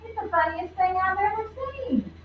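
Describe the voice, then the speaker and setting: A gleeful schoolboy from a storybook , voiced by a woman, yelling in excited mockery. The pitch jumps up high, and the sound is distant and echoing. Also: moderate pace. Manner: excited, raised voice. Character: gleeful, shouting schoolboy mocking a classmate. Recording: roomy, distant, dull low-fi with faint noise.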